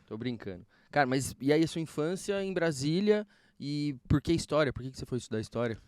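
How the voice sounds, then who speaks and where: A man's voice: a little high, animated, brisk, darkish tone, smooth, no room sound. A Brazilian man hosting a podcast, interviewing casually in informal, slangy language.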